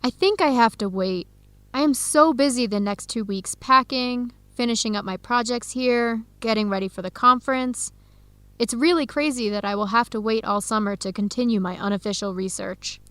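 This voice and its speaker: A young woman playing an earnest researcher searching for her birth family in an educational radio drama, speaking clearly and conversationally. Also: fairly high pitch, moderate pace, fairly bright tone, dry recording, lightly acted. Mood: resolute. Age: young adult.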